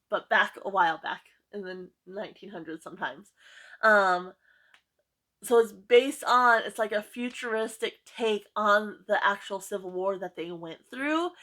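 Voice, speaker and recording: A woman's voice: mid-range voice, fairly bright tone. A woman vlogger chatting casually about books she read, enthusiastic, with lots of fillers and repeated words. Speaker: book vlogger. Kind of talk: casual book review chat.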